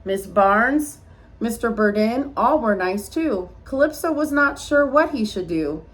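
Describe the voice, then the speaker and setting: High-voiced woman reading a children's story aloud. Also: measured pace. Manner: expressive storytelling, addressing children. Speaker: teacher reading a picture book aloud. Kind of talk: read-aloud of a rhyming children's story with asides to young listeners.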